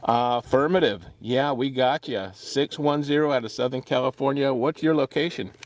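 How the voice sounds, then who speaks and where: A man working a CB radio from a Jeep in the desert, calling out and chatting in a relaxed, hesitant way with many pauses and fillers. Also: medium pitch, no room sound. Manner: relaxed, hesitant, lots of uh's. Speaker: CB radio operator. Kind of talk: CB radio calls and chat.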